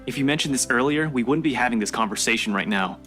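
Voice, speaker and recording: A woman's voice: a bass voice, lively intonation, fast, fairly bright tone, faint music behind, voice pushed down. A woman voicing a dry, matter-of-fact and mildly smug young man in a visual novel read-aloud. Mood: unbothered.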